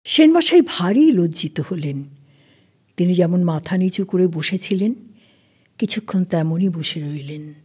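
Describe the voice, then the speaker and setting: A woman's voice: fairly low pitch, lively intonation, measured pace, darkish tone, slightly rough. A woman reading scripted story narration as the narrator, with plucked string music behind her. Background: plucked string instrument music.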